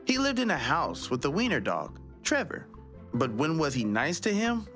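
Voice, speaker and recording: A man hosting a children's read-aloud, addressing young viewers directly with drawn-out emphasis. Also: a little high, wildly swooping pitch, a bit bright, soft background music. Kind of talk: presenting and reading a children's picture book.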